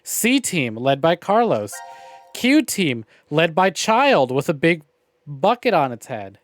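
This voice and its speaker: A man chats casually as he streams a story game. His voice sits a little high and is recorded very dry and close. He is opinionated, wry and freely profane. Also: very animated, slow pace, dry recording, soft background music. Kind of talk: casual livestream commentary on a visual novel game.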